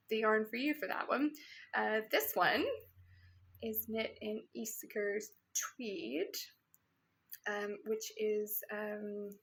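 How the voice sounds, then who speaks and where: Chatty Canadian woman with a gravelly edge. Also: mid-range voice. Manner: chatty, with frequent ums and soft laughs. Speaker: yarn shop owner and podcast host. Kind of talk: casual show-and-tell podcast. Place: her yarn shop.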